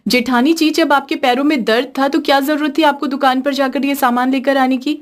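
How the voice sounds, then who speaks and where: A woman's voice: high voice, steady tone, a little fast, pitched above the performer's own voice, natural voice. A woman voicing a caring, fretful young village daughter-in-law in a cartoon story, earnest and emphatic. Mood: scolding.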